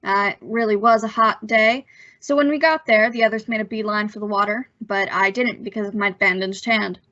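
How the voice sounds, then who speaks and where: A woman's voice: medium pitch, steady tone, normal speed, pushed and bright, lightly acted. A woman playing a nervous, evasive young man with a hesitant manner in an old-time radio suspense drama. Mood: recounting. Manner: hesitant, stammering under pressure. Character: nervous young man, evasive impostor telling his story. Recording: dull, lo-fi audio.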